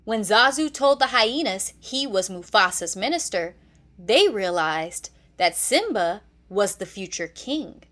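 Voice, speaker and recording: A woman narrating a children's read-along storybook in an expressive, deliberate storytelling manner. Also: medium pitch.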